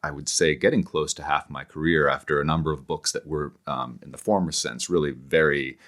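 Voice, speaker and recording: A middle-aged man giving thoughtful, halting explanations as a professor and author being interviewed, often circling back to rephrase. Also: extremely low pitch, fairly even intonation, a bit bright. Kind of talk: thoughtful long-form podcast interview.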